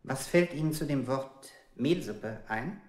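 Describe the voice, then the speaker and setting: A man's voice: medium pitch, measured pace, a little mellow, lightly acted. An actor playing a cold, relentless male interrogator in a radio play, putting formulaic questions with clipped insistence. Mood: cold, insistent. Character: cruel male interrogator, relentless and coldly methodical. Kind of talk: avant-garde radio play, stylised questioning. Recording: echoey old radio play recording.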